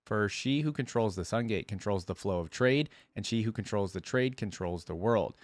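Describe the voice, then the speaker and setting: A man's voice: lowish voice, dry recording. A man hosting a reaction video, reading lore aloud and musing over it in a casual, conversational way.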